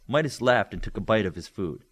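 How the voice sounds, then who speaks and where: Animated male audiobook narrator. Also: fairly low pitch, a little fast. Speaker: audiobook reader. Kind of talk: expressive scripted story narration.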